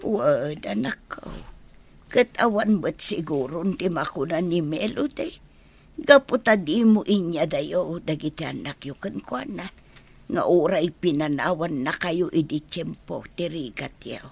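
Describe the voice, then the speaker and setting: A woman playing a concerned elderly grandmother with a raspy, creaky voice in a radio drama. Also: low voice, lively intonation, unhurried, darkish tone, heavily acted. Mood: concerned, reproving. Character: concerned elderly mother and grandmother of village family.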